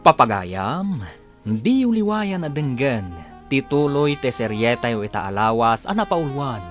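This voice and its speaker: A man's voice: medium pitch, sing-song, measured pace, a bit bright. A man narrates a radio drama serial in a dramatic announcing style over music. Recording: music under the voice. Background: musical instruments.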